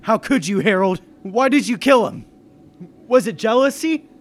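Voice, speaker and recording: A man playing an anxious businessman who narrates a murder mystery in deliberate, dramatic 1930s radio suspense style. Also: high voice, animated, slight background noise. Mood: frantic.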